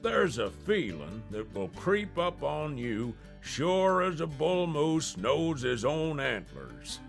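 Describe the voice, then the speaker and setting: A man giving a folksy monologue with a slow drawl and rural turns of phrase. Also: fairly high pitch, sing-song, vocal fry, soft background music.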